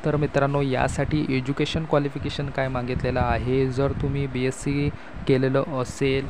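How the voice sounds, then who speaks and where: A man from Maharashtra presenting an informational explainer to online viewers, reading out details with hesitant pauses. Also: mid-range voice, level tone, background noise. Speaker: YouTube host announcing job openings.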